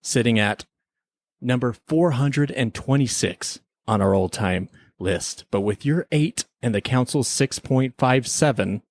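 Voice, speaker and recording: A man's voice: medium pitch, measured pace, no echo, studio quality. A man hosting a podcast, bantering in a teasing, mock-formal courtroom style. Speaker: podcast host. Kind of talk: semi-scripted mock-tribunal podcast banter.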